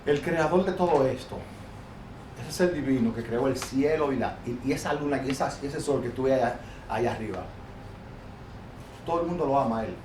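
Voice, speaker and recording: A man's voice: medium pitch, brisk, pauses often, darkish tone. A Dominican man with a Dominican Spanish accent, preaching his views animatedly in an informal Bible discussion, often restarting his sentences. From Dominican Republic. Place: New York. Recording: echoey room, faint noise.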